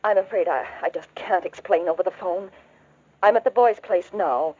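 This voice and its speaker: Worried, genteel mother, bright voice. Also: on the low side, moderate pace, husky. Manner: earnest, refined, hesitant. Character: worried, genteel middle-aged mother hiring a detective. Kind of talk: 1940s radio drama acting. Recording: dull old radio recording.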